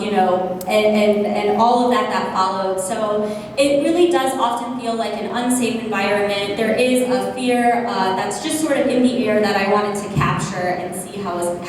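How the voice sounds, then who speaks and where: A woman presenting her research at a conference, earnest and full of fillers, with a voice she calls loud. She is heard in an echoey hall. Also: mid-range voice. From United States. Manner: earnest, many fillers, self-aware about loud voice. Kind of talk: academic conference talk. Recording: echoey hall from far off, noisy.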